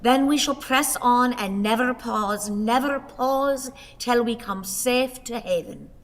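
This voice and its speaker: Radio drama: plaintive elderly Irishwoman, theatrical and wordy, raised pitch, reverberant hall sound. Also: slow. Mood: earnest, wistful. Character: frail, plaintive, melodramatic old Irish wife. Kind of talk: theatrical radio play acting.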